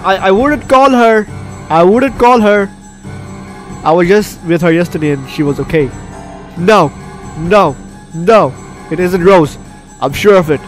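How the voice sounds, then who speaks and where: A man doing gameplay commentary, reading story text aloud dramatically and stumbling over words now and then. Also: on the high side. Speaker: gaming video host. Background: game music.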